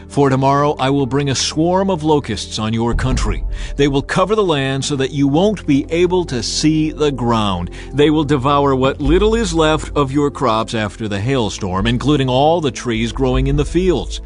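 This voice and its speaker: Male narrator as bold ancient prophet, firm proclaiming delivery, middle pitch, music underneath, scripture drama. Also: animated, moderate pace, natural voice. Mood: warning. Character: Moses, bold, unyielding male Hebrew prophet.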